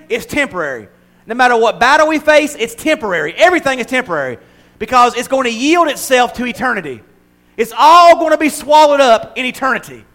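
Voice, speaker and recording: A man's voice: extremely high pitch, fairly bright tone. An older man preaching a conversational sermon as pastor, mixing humor with emphatic, building repetition. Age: 60s.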